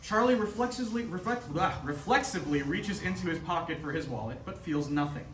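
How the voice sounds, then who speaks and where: High-voiced, animated man reading stage directions. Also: fairly quick, a little hiss or hum. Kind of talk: scripted narration at a staged reading. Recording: roomy, distant stage recording.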